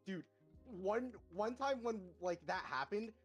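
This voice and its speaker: A man's voice: sits high, slow pace, slightly rough. Joking man on a headset mic. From North America. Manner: dry, joking, animated storyteller. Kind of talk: casual chat. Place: parents' house. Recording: headset mic with faint music underneath.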